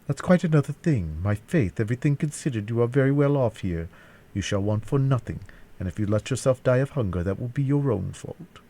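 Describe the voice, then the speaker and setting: Audiobook villain played by a man: aristocratic male captor, mocking, threatening, pitched well above the narrator. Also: brisk, darkish tone, natural voice. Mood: jeering. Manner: jeering, mock-cajoling, threatening. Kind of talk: classic novel audiobook character voice.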